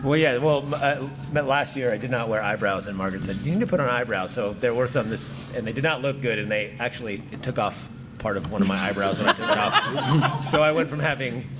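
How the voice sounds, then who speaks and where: A man's voice: medium pitch, quick pace. Rambling, chuckling man in a noisy room. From United States. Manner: rambling, self-laughing storyteller. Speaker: panel guest in costume.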